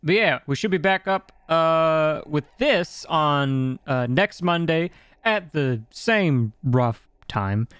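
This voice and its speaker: A man's voice: on the high side, animated, slow pace, a bit bright, clear, resonant tone, dry recording. A man wrapping up a tabletop game stream as game master, chatty, laughing often and stumbling over repeated words.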